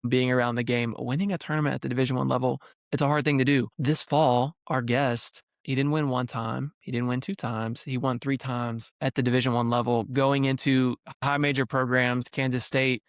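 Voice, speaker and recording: A man's voice: medium pitch, little pitch movement, moderate pace, few pauses, no room sound, clean studio sound. A young man hosting a podcast interview in an effusive, enthusiastic way, hyping up his guest. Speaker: podcast host interviewing athletes.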